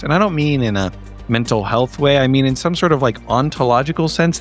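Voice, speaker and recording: A man recapping a tabletop game in a casual, comedic way, with a droll, wordy delivery. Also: mid-range voice. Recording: music under the voice.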